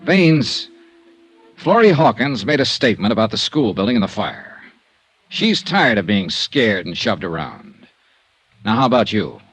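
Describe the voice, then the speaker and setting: An actor playing a tough, wry insurance investigator in a 1950s radio drama, clipped and probing. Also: male voice, on the low side, sing-song, a little slow, a bit bright, breathy edge, dry recording, soft background music, exaggerated voice. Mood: urgent, businesslike. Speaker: lead actor and narrator.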